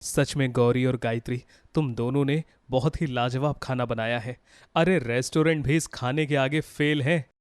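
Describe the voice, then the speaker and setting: A man's voice: medium pitch, steady tone, moderate pace, clear, resonant tone, no room sound, clean studio sound, natural voice. A man voicing an appreciative young husband in a moral storybook, polite and complimentary. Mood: pleased, impressed. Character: appreciative young husband praising his family. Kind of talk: dramatized Hindi moral storybook.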